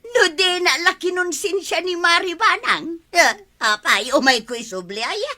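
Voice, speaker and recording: Shrill, excitable Ilocano barrio woman. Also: unhurried, full character voice. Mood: grudging. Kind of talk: Ilocano radio comedy drama acting.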